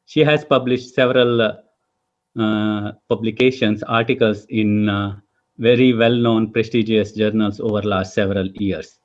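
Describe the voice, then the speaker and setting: A man's voice: fairly low pitch, fairly even intonation, normal speed, muffled recording. A man hosting an interview over a video call, asking questions hesitantly with many fillers. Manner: hesitant, many fillers, frequent listener backchannels. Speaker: host. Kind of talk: interview host.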